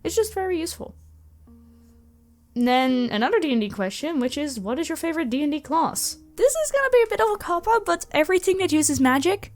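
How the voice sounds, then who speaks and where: A woman with an enthusiastic, expressive way of talking, casually answering questions as the host of her own channel. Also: sits high, a little fast. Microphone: close USB microphone. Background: background music.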